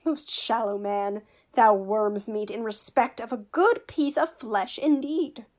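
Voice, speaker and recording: A woman's voice: fairly high pitch, takes it slowly, a little mellow. A woman playing a witty, pompous male court fool who teases with mock logic in a Shakespearean radio play. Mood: scornful.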